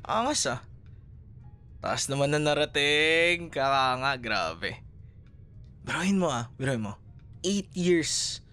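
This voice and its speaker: A man's voice: medium pitch, pushed and bright. A Filipino man playing a chatty, teasing café worker in a casual, naturalistic boyfriend roleplay audio. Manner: chatty, playful, casual conversational.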